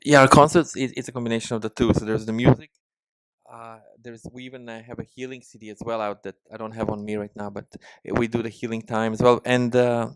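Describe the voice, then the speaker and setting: A man's voice: mid-range voice, little pitch movement, brisk, clean tone, no room sound, poor audio. A man with a slight Ukrainian-Israeli accent answering interview questions, hesitant with many restarts and fillers. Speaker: musician guest.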